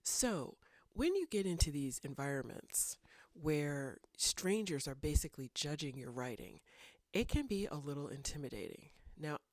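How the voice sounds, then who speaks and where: A woman's voice: low-pitched, sing-song, moderate pace, no echo. A woman in her thirties hosting a writing vlog, a little congested from a cold, talking thoughtfully and meandering with fillers. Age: 30s. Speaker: writing channel host. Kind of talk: casual talking-head vlog.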